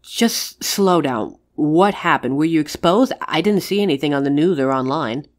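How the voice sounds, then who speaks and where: Frank, lowish-voiced witch friend, acted by a woman. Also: lively intonation, normal speed. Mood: concerned. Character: caring, exasperated female witch, frank and pushy friend. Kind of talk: dramatic audio roleplay acting.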